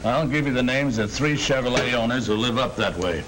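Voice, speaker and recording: An actor playing an earnest middle-aged American sales manager in a 1940 training film, speaking in a firm, instructive way. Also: male voice, mid-range voice, a little fast, close to an everyday voice. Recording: noisy old 1940 film soundtrack.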